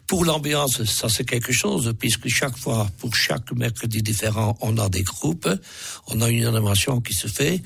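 A man's voice: medium pitch, moderate pace, vocal fry, fairly bright tone, breathy edge, dry recording. A man with a cold, a radio interview guest who speaks hesitantly with many restarts. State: has a cold. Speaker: association president, food truck owner.

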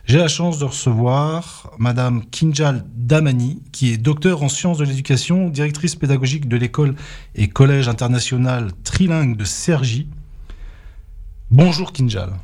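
A man hosting a local radio interview, talking in a hesitant way with many pauses and restarts into a studio microphone. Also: medium pitch, darkish tone. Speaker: radio show host.

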